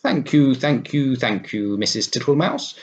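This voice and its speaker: A man voicing a fat, smiling, toothless gentleman toad in a storybook, polite, silly and repeating himself. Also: mid-range voice, expressive, measured pace, voice pushed up. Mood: politely pleased. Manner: repetitive, polite, leisurely, silly.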